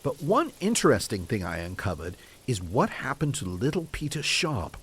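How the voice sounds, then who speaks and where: Man acting animated, haunted ex-detective. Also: mid-range voice, moderate pace, slightly rough, exaggerated voice. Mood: earnest, confiding. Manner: courteous, storytelling, old-fashioned turns of phrase. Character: earnest, haunted male British ex-detective, grieving and obsessive. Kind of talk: audio drama dialogue.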